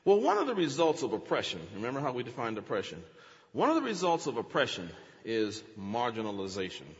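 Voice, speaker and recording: A man's voice: mid-range voice, huge pitch swings, fairly quick, in a large hall, highs cut off. A man preaching a teaching sermon, dramatic, acting out quotes with big swings in his voice.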